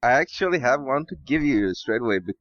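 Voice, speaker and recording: A man chatting casually as a podcast guest, hesitant and halting, swearing offhandedly, on dull muffled audio. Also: medium pitch, animated, fairly bright tone, no echo. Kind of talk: casual chat.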